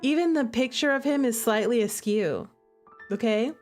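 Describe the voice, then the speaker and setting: A woman's voice: a little high, moderate pace, darkish tone. A woman streamer chatting casually and playfully through commentary on her own game, stressing her points with little tag questions. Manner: playful, giggly, emphatic; repeats "okay?" and "all right?" as tags. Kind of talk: casual livestream commentary and chat. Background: background music.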